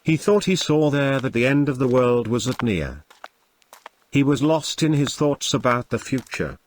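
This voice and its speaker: A man's voice: mid-range voice, expressive, normal speed, slight background noise. A man narrating a scripted moral story for a cartoon. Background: faint music.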